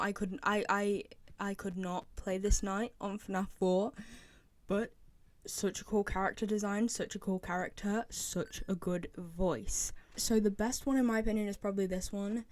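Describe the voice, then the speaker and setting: A woman's voice: medium pitch, steady tone, moderate pace. A young woman giving casual, opinionated fan commentary as a video creator, talking in a chatty, informal way. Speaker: video creator reviewing game voice lines.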